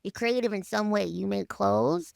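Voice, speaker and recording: A woman chatting casually in an interview, telling stories in an animated way and acting out imagined quotes. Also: medium pitch, normal speed, creaky, no echo, studio quality. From Harlem, New York, USA. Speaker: musician guest on a radio show.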